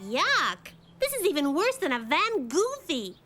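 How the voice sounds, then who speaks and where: A plucky, clever little cartoon girl. Very high and lively in pitch, she sounds wry and matter-of-fact over prominent music. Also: unhurried, full character voice. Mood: scheming.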